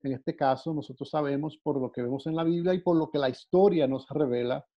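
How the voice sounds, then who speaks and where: Halting man teaching a Bible lesson. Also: fairly high pitch. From Dominican Republic. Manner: halting, many "eh" fillers and repeated words. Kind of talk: unscripted Bible class talk. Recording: dull lo-fi sound.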